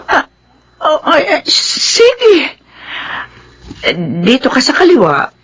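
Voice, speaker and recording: A woman's voice: high-pitched, huge pitch swings, slow pace, fairly bright tone, exaggerated voice. An actress playing a kindly, easily flustered wife and mother in a radio drama, questioning and hesitant. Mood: surprised, flustered.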